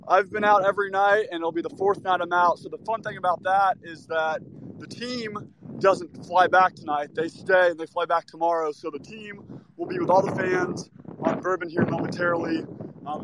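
A man's voice: fairly high pitch, fairly even intonation, normal speed, noisy background. A man giving casual, earnest sports commentary as a caller, talking in a rambling way. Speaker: caller, guest commentator on a fan call-in.

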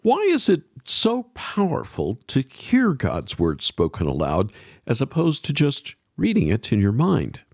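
Gravelly, animated male radio host. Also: mid-range voice, a little slow, no room sound, studio quality. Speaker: podcast host. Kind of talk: radio interview hosting.

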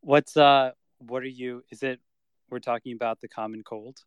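A man's voice: medium pitch, normal speed, clear, resonant tone, no echo, dull audio. A man hosting a podcast, opening with an upbeat scripted intro and then chatting casually. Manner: upbeat announcer delivery in the intro, relaxed after. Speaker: host.

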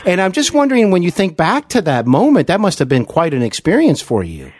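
A man's voice: sits high, huge pitch swings, a little fast, a little mellow, no room sound. Male interview host, fan-like and emphatic, very varied intonation, dry close sound. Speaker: podcast host. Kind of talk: conversational celebrity interview.

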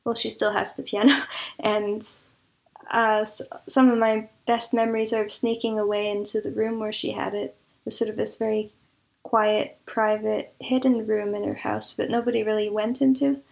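A woman speaking as an interview guest in casual, reminiscing conversation, with a thoughtful, measured way of talking. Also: medium pitch, little pitch movement, creaky. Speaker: interview guest, composer and audio drama producer.

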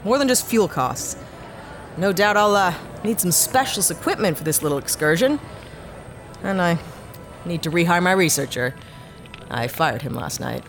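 Woman as wry, animated space pilot for hire. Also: on the low side, normal speed, pauses often, faint music behind. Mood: wry, bargaining. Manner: sarcastic, sceptical, hesitant asides. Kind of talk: sci-fi audio drama acting.

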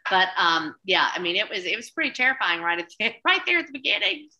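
A woman's voice: mid-range voice, sing-song, quick pace, gravelly, bright, forceful tone. A woman with a Southern US accent, a cookbook author chatting in an interview, rambling with many restarts. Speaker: cookbook author and TV food contributor. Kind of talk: casual interview chat.

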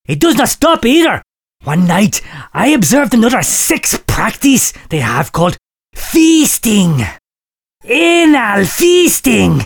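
A man's voice: very high voice, fairly bright tone. A man hosting a comedy podcast, reading out listener letters in a mock-solemn, preacherly delivery that keeps breaking into laughter.

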